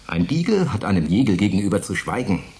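An actor playing a sardonic, grumbling forty-year-old retired space pilot and bickering husband in a German science fiction radio play. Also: male voice, fairly low pitch, sing-song, quick pace, mellow. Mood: mocking. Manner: mocking, grumbling, declamatory.

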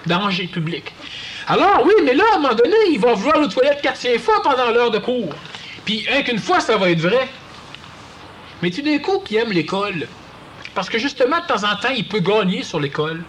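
Québécois male speaker, high and highly expressive, conversational lecture with faint room noise. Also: fairly quick. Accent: Quebec French. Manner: animated, acts out quoted voices. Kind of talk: conversational talk to an audience.

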